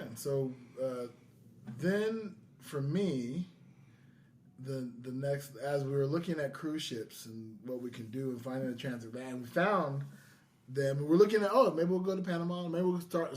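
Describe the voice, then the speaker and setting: A man's voice: mid-range voice, normal speed, a little mellow. American man chatting casually on a podcast. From California, United States. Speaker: podcast host.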